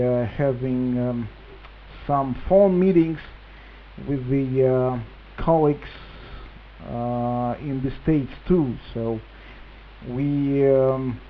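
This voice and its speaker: Male ham operator, Russian accent, very slow hesitant English, casual radio chat, phone-like sound with faint hiss. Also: medium pitch. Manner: halting non-native English with long hesitations. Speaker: amateur radio operator, reflector administrator. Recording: tinny band-limited radio link with hiss.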